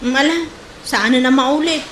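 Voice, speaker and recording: A woman's voice: high voice, measured pace, a bit bright. A woman playing a stubborn, money-hungry peddler wife with an Ilocano accent in a comedy drama. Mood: defensive. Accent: Ilocano Filipino. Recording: roomy echo, clear background noise.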